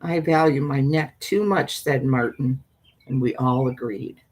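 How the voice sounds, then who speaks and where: An older woman with a husky voice and a folksy, meandering manner, talking as a storyteller hosting her own live stream. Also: very deep voice, unhurried, darkish tone. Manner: folksy, meandering, often trailing off and repeating. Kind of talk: casual rambling chat with viewers; reading a spooky story aloud.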